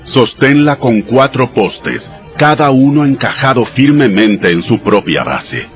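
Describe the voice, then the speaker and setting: A man voicing God in a dramatized audio Bible, giving sacred commands in a measured, solemn, instructive way. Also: mid-range voice, lively intonation, lightly acted. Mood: solemn, commanding. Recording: studio voice over background music.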